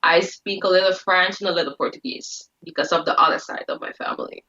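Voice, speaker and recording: A woman's voice: lowish voice, quick pace, sharp tone. A woman from the Caribbean whose first language is Papiamento, a guest chatting casually in short bursts. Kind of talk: casual conversation. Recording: dull lo-fi sound.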